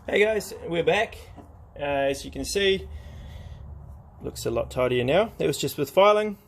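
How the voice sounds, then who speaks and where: A man's voice: on the high side, lively intonation, unhurried, vocal fry. A man giving a casual, hands-on craft demonstration, explaining each step in an informal, laid-back way. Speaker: craft tutorial host.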